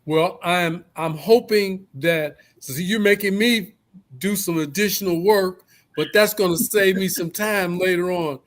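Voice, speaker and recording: Guy hosting a talk show, high voice, slow and stumbling over his words. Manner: halting, repeats words and restarts.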